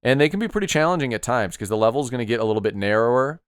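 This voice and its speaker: A man's voice: mid-range voice, lively intonation, rapid-fire, smooth, dry recording, studio quality. A man hosting a podcast, chatting casually about retro games in an upbeat, agreeable way and asking his co-host questions.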